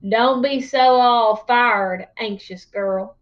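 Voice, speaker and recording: Woman as a folksy old storyteller man, high-voiced. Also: lo-fi sound. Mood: grumpy, scolding. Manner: folksy, emphatic, drawn-out exaggeration. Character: crotchety old country man spinning tall tales. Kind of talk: storybook read-aloud character voice.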